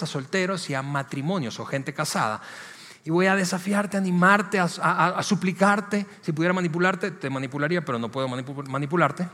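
A man's voice: sits high, pushed and bright. A man with a Venezuelan Spanish accent gives a conversational sermon as a pastor, speaking emphatically and repeating key phrases. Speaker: church pastor. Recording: long hall reverb.

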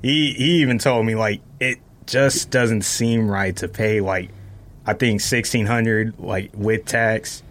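A man's voice: on the low side, fairly even intonation, slow, a bit bright. A man in a casual podcast chat, explaining things informally step by step. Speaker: podcast guest talking about sneakers.

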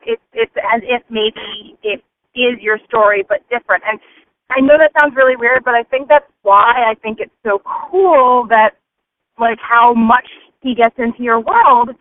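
A woman's voice: fairly high pitch, no echo. A woman leaving casual, rambling listener feedback as a voicemail over the phone. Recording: tinny, band-limited phone voicemail.

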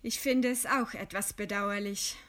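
An actress playing a proud, scornful woman writer facing execution in a radio play, with a deliberate, ironic delivery. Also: mid-range voice, steady tone, fairly bright tone, close to an everyday voice. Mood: dry resignation. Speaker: radio drama actor. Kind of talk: German radio play acting.

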